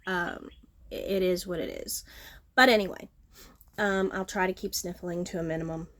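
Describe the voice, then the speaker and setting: A middle-aged woman with a nasal, sniffly voice, chatting casually on her home vlog and filling pauses with ums. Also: lowish voice, fairly even intonation, a bit bright. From Texas Gulf Coast. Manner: frequent ums, self-deprecating, chatty. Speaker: vlogger, horticulturist. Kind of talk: casual talking-to-camera vlog. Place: outdoors at home, front porch.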